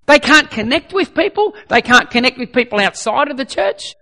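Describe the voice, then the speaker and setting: Croaky pastor preaching emphatically. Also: male voice, very high voice, sing-song, normal speed. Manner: emphatic, swings from loud repeated exhortations to soft prayer. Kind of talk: sermon.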